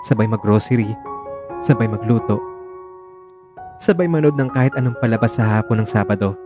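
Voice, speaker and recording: A man's voice: on the low side, brisk. A man narrating an audio drama with scripted, storytelling delivery. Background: faint music.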